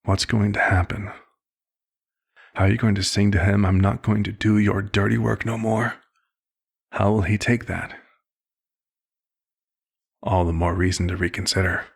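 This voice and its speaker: A man playing an old, compassionate vampire doctor in an ASMR roleplay, with a husky, gravelly voice and a measured, slightly formal, paternal manner. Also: on the low side, exaggerated voice. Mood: persuasive, reassuring.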